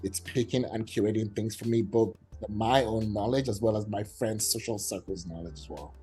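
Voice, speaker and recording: A man's voice: fairly low pitch, normal speed, warm tone, soft background music. A man from Nigeria, a startup founder, explaining his app in a casual online meetup with enthusiasm and many fillers.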